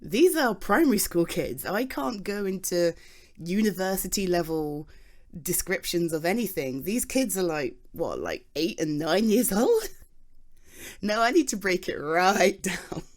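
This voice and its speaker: A woman's voice: on the low side, sing-song, measured pace. A British woman playing a chatty, flustered geology nerd in an intimate audio roleplay, rambling fondly and animatedly as she explains things to someone close. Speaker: ASMR roleplay voice performer.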